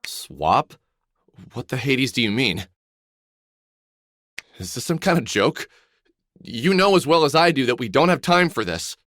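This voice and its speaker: Desperate middle-aged man, animated and dry. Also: mid-range voice, unhurried, long pauses, dry recording. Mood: disbelieving. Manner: stammering, pleading. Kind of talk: dramatic video game voice acting.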